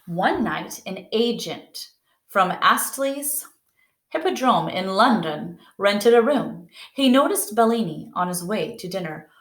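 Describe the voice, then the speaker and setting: A woman's voice: mid-range voice, expressive, slow pace. A woman reading a children's story aloud, narrating expressively. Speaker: storybook reader. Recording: roomy indoor echo.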